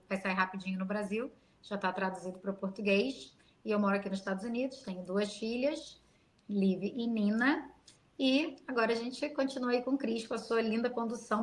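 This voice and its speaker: A woman from Brazil, a therapist and author, chatting as a podcast guest in an animated, proud way. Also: medium pitch. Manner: proud, animated and laughing easily. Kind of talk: casual podcast chat.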